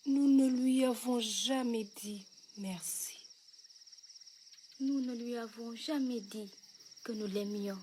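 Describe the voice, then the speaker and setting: An actress playing a tender, emotional village mother in an animated film. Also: on the high side, takes it slowly, pauses often, a little hiss or hum. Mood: sorrowful.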